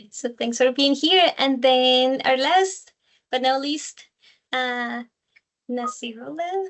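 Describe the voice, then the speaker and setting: A woman's voice: high-pitched, slow, long pauses, a bit bright. A woman facilitating an online council meeting over a video call, thanking and introducing members with many fillers.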